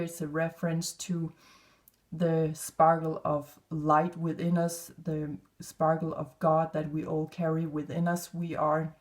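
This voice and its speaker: A woman from Denmark with a Danish accent, giving an unscripted spiritual card reading to viewers in a measured, halting way. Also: on the low side, dark tone. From northwestern Denmark. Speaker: tarot and oracle card reader.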